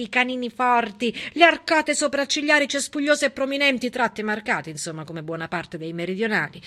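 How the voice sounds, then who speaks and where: Bright, high-pitched Italian stage storyteller, a woman. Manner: emphatic, dramatic, ironic. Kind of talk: theatrical civic monologue.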